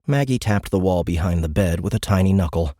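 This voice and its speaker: A man's voice: low-pitched, animated, brisk, mellow, no echo, studio quality. A man reading scripted science fiction narration for an audiobook in a dramatic storytelling style.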